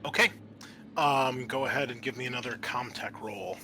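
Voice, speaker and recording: A man improvising narration as game master of a tabletop game, in a halting, conversational way. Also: mid-range voice, pushed and bright, slight background noise.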